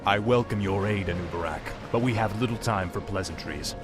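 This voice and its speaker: Death knight prince, male actor: low voice, grim, arrogant, urgent, fantasy game drama with music underneath. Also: brisk, natural voice. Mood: curt, contemptuous. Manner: haughty, urgent. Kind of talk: video game cutscene acting.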